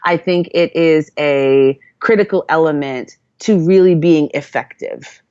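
A woman's voice: low-pitched, unhurried, a little mellow, smooth, dry recording, dull audio. A woman from Jamaica, a guest in an interview, talking earnestly and thoughtfully with many fillers and restarts. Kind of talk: reflective conversational storytelling.

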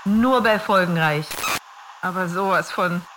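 A woman's voice: on the low side, unhurried, background noise. A woman making an emphatic, playfully commanding mock-dramatic promo announcement.

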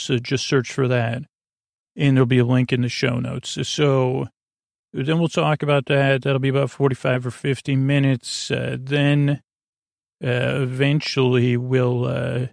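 Creaky-voiced man rambling through a bedtime podcast. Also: medium pitch, no echo, studio quality. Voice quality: creaky, gravelly. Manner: meandering, hesitant, self-interrupting, gently silly; soothing, lulling. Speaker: podcast host.

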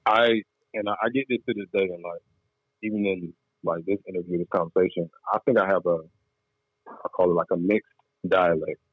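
A man's voice: low voice, steady tone, fairly quick, husky, no echo, narrow, tinny audio. A man guest on a chat show, telling his story candidly in laid-back slang. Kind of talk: casual interview chat.

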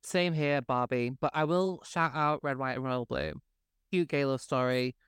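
A man's voice: medium pitch, steady tone, fairly bright tone, clear, resonant tone, no echo, clean studio sound. Sassy, campy man co-host, Spanish native. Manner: sassy, campy, quick with shade. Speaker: podcast co-host. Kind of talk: casual chat.

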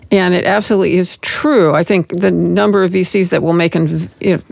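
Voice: mid-range voice, gravelly, a little mellow, dry recording. A middle-aged business lawyer on a panel, explaining at length with frequent restarts. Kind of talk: panel discussion.

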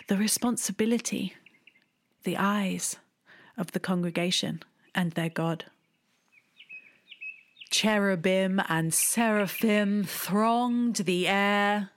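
Woman, thirties, memoir storytelling, wry, unhurried, mid-pitched. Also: fairly even intonation. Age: 30s. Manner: wry, deliberate, with hushed asides.

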